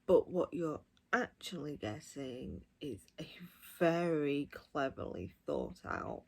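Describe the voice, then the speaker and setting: A woman's voice: low-pitched, big pitch swings, slow pace, creaky, a little mellow, husky. A woman giving a casual, enthusiastic review, talking directly to viewers. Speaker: audiobook reviewer.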